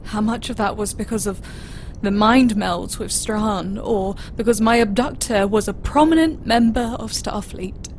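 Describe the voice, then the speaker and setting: Slow-talking, hurt young officer, played by a woman. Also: medium pitch, a little mellow, slight background noise, close to an everyday voice. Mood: hurt, searching. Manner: hesitant, subdued. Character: hurt, unsure young female starship officer. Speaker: voice actor. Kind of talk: audio drama dialogue.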